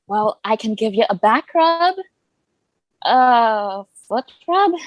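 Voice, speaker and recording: A woman's voice: fairly high pitch, expressive, slow, dry recording, poor audio. A woman playing a loving, protective mother in a musical theatre table read, encouraging her son in speech and song. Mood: placating.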